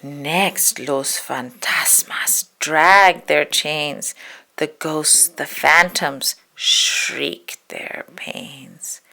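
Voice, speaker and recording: A woman reading a spooky children's poem aloud in a dramatic, hushed storytelling manner, stretching words and dropping into whispers. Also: sits low, extremely slow. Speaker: storybook reader.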